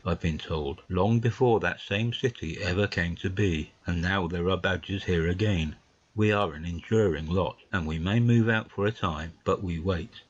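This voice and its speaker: An actor playing a placid, wise old male badger, gruff and measured, in a dramatised audiobook. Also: sits low, dull audio. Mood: calm, reflective. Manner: measured, storytelling, unhurried. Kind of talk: dramatised audiobook of classic fiction.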